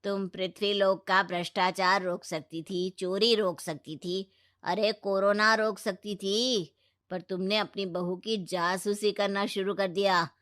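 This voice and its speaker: An unhurried, officious underworld messenger voiced by a woman for a cartoon tale. He is stern and sardonic, scolding in a deadpan, mid-pitched voice. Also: dry recording, clean studio sound, natural voice. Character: officious male death messenger, stern, sardonic, soft-hearted. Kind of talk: Hindi cartoon storytelling dub.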